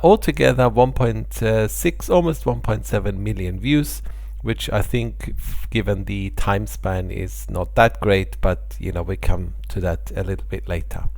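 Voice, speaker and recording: A man's voice: fairly low pitch, unhurried, vocal fry, darkish tone, dry recording. A middle-aged man from Austria, a native German speaker with an Austrian German accent, explaining his channel statistics in a friendly, casual way. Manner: friendly, explanatory, occasional small laughs. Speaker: airline pilot and channel host. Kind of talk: casual talk to camera about channel statistics.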